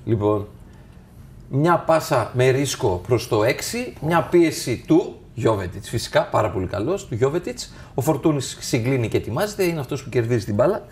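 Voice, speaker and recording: A man's voice: mid-range voice, big pitch swings, a little mellow, slight background noise. A man talking as a football analyst, walking through match clips step by step and addressing viewers. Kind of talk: tactical match analysis over video.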